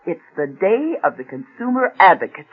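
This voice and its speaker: A woman's voice: mid-range voice, very animated, husky. A woman acting in a radio drama as an argumentative, insistent political operative, on a thin, phone-like old recording. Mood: persuasive. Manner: insistent, quick to contradict. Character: assertive female political operative, sharp and argumentative.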